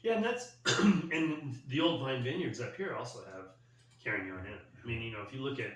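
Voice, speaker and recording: A man's voice: mid-range voice, expressive, fairly quick, fairly bright tone. An American man in casual group chat, thinking aloud in a discursive way. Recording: roomy echo, distant mic, faint noise.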